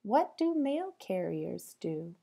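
A woman teacher reading a storybook aloud to young children, with a sing-song delivery, pausing to put questions to them. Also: medium pitch, big pitch swings, creaky, warm tone.

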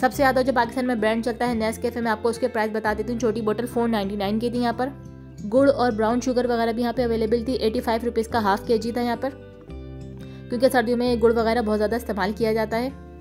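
A woman with a Pakistani Urdu accent, narrating a store-tour vlog and listing prices in a steady, informative voice. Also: fairly high pitch, quick pace. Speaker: shopping vlogger. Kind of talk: vlog voice-over. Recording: voice-over with faint music behind.

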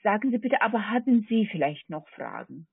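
Woman, foreign accent, husky voice, medium pitch, polite halting telemarketer, dry narrowband phone sound. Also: big pitch swings, dry recording. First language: not German. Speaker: telemarketing call center agent. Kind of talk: scripted phone sales pitch. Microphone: telephone. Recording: tinny, narrowband phone line.

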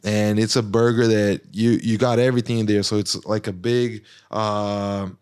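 A man speaks in a fairly low, clean, resonant voice. He rambles easily as a podcast guest, stringing thoughts together with lots of "like" and "you know". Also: level tone, normal speed, darkish tone, smooth. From Brazil. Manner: loose and rambling, heavy use of "like". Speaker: guest fighter. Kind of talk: casual podcast chat.

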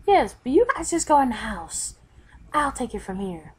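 A woman's voice: on the high side, sing-song, moderate pace. A woman voicing a bossy male otherworldly martial-arts master, emphatic and instructing, in a game roleplay. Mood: bossy, urgent. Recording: noisy home recording.

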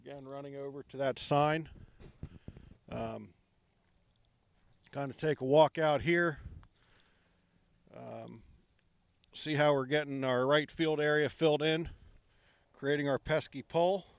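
A middle-aged man with a slightly high voice talks slowly and unhurriedly as he walks outdoors, filling pauses with ums. His handheld microphone picks up a clean, smooth sound with very dry, close presence. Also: no echo. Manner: relaxed, many ums, walking while talking. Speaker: project guide giving a site tour. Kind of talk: casual walking tour. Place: outdoor construction site at a ballfield.

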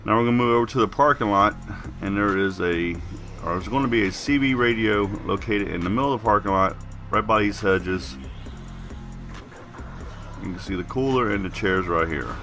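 A man's voice: fairly low pitch, normal speed, sharp tone, faint music behind. A man giving casual, plain-spoken game walkthrough commentary as a video host while getting over a cold.

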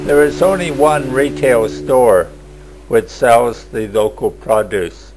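Male narrator, unhurried and plain, amateur travel video voice-over, mid pitch. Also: animated, rough recording. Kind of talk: slow scripted travel voice-over.

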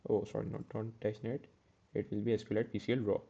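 Man, coding tutor, flat low voice, dark tone, slightly dull recording. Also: fast, vocal fry, breathy edge. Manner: step-by-step, self-correcting with "uh" and "okay". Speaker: programming tutor.

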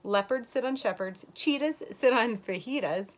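A woman doing a storybook cat reciting slowly. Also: a little high, pitched above the performer's own voice, natural voice. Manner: slow, measured recitation. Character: thoughtful storybook cat listing rhymes.

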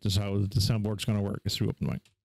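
A man hosting a casual screen-share software tutorial in an informal, offhand way. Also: fairly low pitch, little pitch movement, racing, vocal fry, no room sound. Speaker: tutorial host.

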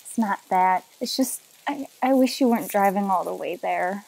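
A woman's voice: medium pitch, moderate pace, creaky, darkish tone, low-quality recording. A woman playing a loving, worried mother of a grown son in an old-time radio drama, hesitant and pleading. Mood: worried, tender.

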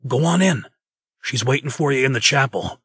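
A man's voice: mid-range voice, expressive, normal speed, a bit bright, breathy edge, no echo, clean studio sound. A man voicing an agreeable, plain-spoken fairy-tale craftsman in a comic dub, earnest and deferential. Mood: helpful.

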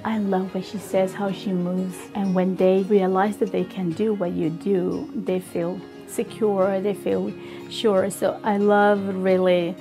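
A woman with a Venezuelan accent, a native Spanish speaker, giving a personal testimonial in earnest, halting English. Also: medium pitch, little pitch movement, over music. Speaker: kindergarten Spanish teacher.

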